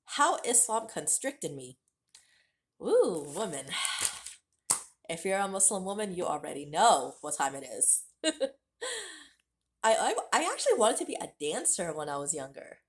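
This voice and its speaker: A woman's voice: fairly low pitch, very animated, unhurried, long pauses, a little hiss or hum. A woman, telling her life story candidly to her viewers in a casual vlog. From United States. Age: late 30s. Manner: candid and conversational, direct address to viewers. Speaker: vlogger. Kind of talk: personal storytelling to camera.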